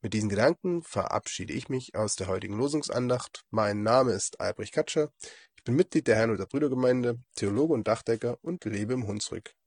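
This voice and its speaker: A man with a husky voice, hoarse from a cold, giving a calm, measured daily devotion as a lay preacher. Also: mid-range voice, no room sound. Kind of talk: devotional reflection.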